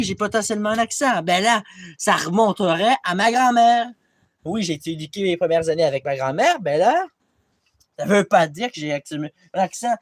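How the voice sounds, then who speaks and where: Rambling, foul-mouthed young man from Quebec. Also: high-pitched. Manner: rambling, swears a lot, self-deprecating. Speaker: vlogger. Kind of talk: casual rambling vlog monologue. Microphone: tablet camera mic.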